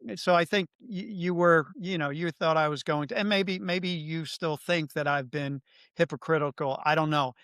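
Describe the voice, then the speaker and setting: Middle-aged guy talking politics on a podcast, pretty high voice, careful and thoughtful, keeps restarting sentences. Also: fairly bright tone, smooth, no room sound, studio quality. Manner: measured, thoughtful, with many restarts. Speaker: podcast co-host. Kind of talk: political commentary.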